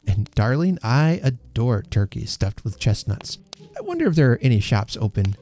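Male reader as sceptical husband, wry, easygoing, mellow tone, storybook character. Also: medium pitch, huge pitch swings, normal speed, soft background music. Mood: fond, cheerful.

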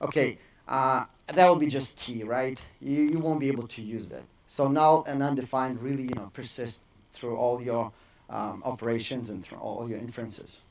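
A man's voice: medium pitch, moderate pace, distant mic, low-quality recording. A man in his thirties giving a casual technical keynote on a large auditorium stage, with many fillers and restarts. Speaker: conference speaker.

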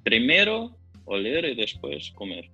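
A man with a Portuguese accent, a native Portuguese speaker, talks casually and gropes for words, often repeating himself. His voice is forceful and bright, on a dull lo-fi recording with music behind it. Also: mid-range voice, wildly swooping pitch, slightly rough. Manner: halting, searches for words, repeats phrases. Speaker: podcast guest. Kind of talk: casual conversation.

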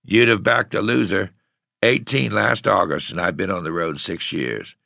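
A husky, hoarse young tramp boy, as a male narrator puts him on, streetwise and defiant. He sounds raised and forceful, with a bright edge. The recording is close and dry. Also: low-pitched, moderate pace, no echo, voice pushed up, exaggerated voice. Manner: streetwise, cocky, bitter. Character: frail, husky eighteen-year-old runaway boy tramp, defiant.

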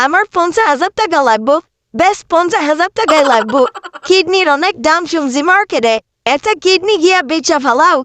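A woman's voice: very high-pitched, a bit bright. A woman playing a greedy, demanding young girlfriend in a comic cartoon, scolding insistently in a Bangladeshi accent.

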